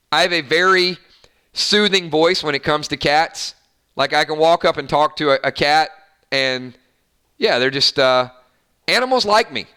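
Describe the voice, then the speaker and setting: A man's voice: fairly high pitch, sharp tone, clear, resonant tone. A man hosting a casual fan live stream, chatting and reading out viewer comments in an upbeat, rambling way. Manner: chatty, upbeat, lots of fillers and restarts.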